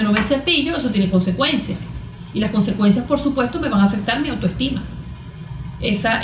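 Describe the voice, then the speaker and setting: A Venezuelan woman with a fairly high voice gives explanatory interview answers. She teaches as she talks, posing questions and then answering them. The room adds a clear echo around her voice. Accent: Venezuelan Spanish. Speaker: guest therapist being interviewed. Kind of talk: explanatory interview talk with everyday examples. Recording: roomy, echoing room sound.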